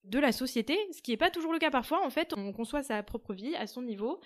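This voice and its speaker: Chatty young woman, higher voice. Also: fast talker. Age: 20s. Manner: animated, frequent fillers, trailing off into quiet. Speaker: guest. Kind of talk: conversational storytelling.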